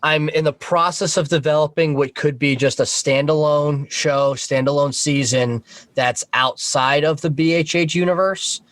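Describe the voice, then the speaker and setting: A man speaking as an interview guest, informal and halting as he thinks aloud about his creative work. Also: fairly high pitch, steady tone, fairly bright tone, no echo. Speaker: guest, audio horror series creator. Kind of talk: casual interview talk.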